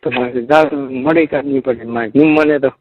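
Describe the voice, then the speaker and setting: A man's voice: medium pitch, fairly even intonation, normal speed, slight background noise. Muffled phone-call Marwari man, chatting casually. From Rajasthan, India. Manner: repeats short questions and phrases. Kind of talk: casual private phone chat. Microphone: telephone.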